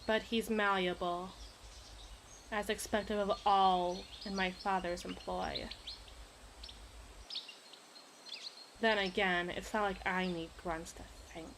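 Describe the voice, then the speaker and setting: A woman's voice: mid-range voice, steady tone, unhurried, noisy background, lightly acted. A woman playing a possessive, imperious evil queen in an ASMR roleplay, speaking in formal, teasing, theatrical diction. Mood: haughty, dismissive.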